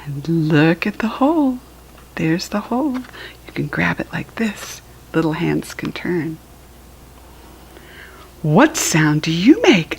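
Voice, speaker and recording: Slow-paced American woman reading to children. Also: sits low, creaky, slightly rough. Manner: simple, instructive, addressing small children; acts out animal sounds while reading. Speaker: children's book reader. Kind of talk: read-aloud storytelling for young children with asides.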